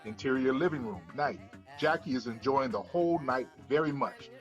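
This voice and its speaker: A man's voice: mid-range voice, lively intonation, moderate pace, lo-fi sound, soft background music. A man narrating the stage directions of a screenplay at a table read.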